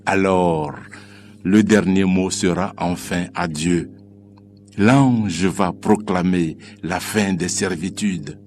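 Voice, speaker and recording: Slow, low-voiced man reciting solemn poetry. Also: darkish tone, slight background noise. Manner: declamatory, measured phrasing with deliberate pauses. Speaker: poet reciting own poem. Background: music.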